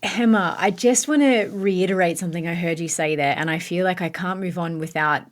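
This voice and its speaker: A woman's voice: lowish voice, a little fast. Appreciative woman podcast co-host. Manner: emphatic, appreciative. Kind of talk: interviewer recapping and moving on.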